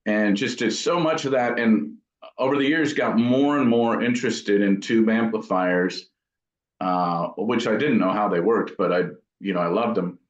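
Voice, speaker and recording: A man's voice: lowish voice, moderate pace. A middle-aged man giving casual, thoughtful interview answers as an amp builder, hesitating as he reminisces. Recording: roomy, dull lo-fi sound.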